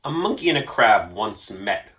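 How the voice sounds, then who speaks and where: A man reading a story aloud as an expressive narrator. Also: lowish voice, normal speed. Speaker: storyteller for a library story program.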